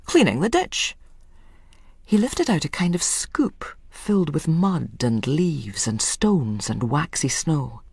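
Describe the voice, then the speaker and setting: A woman's voice: low voice, sing-song, slow, gravelly, hardly any pauses, a little mellow, husky, dry recording. A woman storyteller giving a scripted, literary narration of a dramatic short story.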